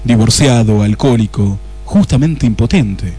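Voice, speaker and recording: A medium-pitched male narrator sets the scene of a detective spoof , played by a man. His delivery is theatrical, wry and mock-solemn, with an Argentine accent and faint music behind. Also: animated, moderate pace, warm tone, natural voice. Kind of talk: scripted radio play narration.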